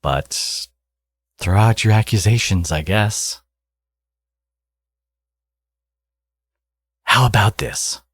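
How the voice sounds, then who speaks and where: Man acting a low, husky, smug villain. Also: measured pace, fairly bright tone, dry recording. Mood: teasing, coaxing. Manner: teasing, sardonic, drawling threats. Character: smug supervillain man, teasing ex-enemy and doting father. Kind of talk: ASMR audio roleplay, intimate acted monologue.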